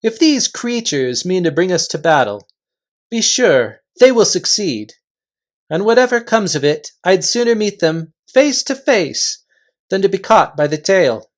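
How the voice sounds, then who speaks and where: A proud little mouse knight from a storybook, acted out by a reader. He is fierce and gallant, speaking slowly and formally with dramatic rises in pitch. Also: big pitch swings. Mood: proud, defiant. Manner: formal, courtly, deliberate.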